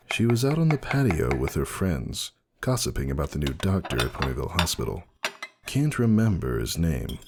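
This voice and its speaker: A man giving a dramatic first-person story reading in a measured, wistful way. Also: a bass voice, noisy background. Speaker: audiobook narrator. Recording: music behind the reading.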